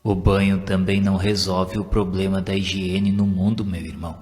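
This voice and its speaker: A man's voice: low voice, level tone, moderate pace, a little mellow, natural voice. Man playing a humble Brazilian spiritual medium, reverberant. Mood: patient, kindly. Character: humble, kindly, wise male spiritual medium. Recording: long reverb, music behind.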